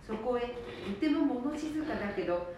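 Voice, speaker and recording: A woman's voice: expressive, a little slow, dark tone. A woman reading scripted storytelling narration for a radio drama. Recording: echoey room, faint rumble.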